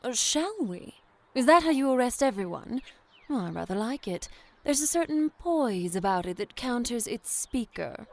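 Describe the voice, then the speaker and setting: A woman's voice: fairly high pitch, lively intonation, normal speed, hardly any pauses. A woman playing a proud, witty, defiant accused woman of the seventeenth century in an audio drama, poised and formal. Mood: wry, amused. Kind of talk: period audio drama acting.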